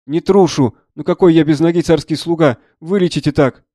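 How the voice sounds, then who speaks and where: A man's voice: mid-range voice, steady tone, fairly quick, a little mellow, no echo, clean studio sound, natural voice. A man voicing a plucky, plain-spoken wounded soldier in a dramatised audiobook, earnest and deferential in a folksy way. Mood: pleading.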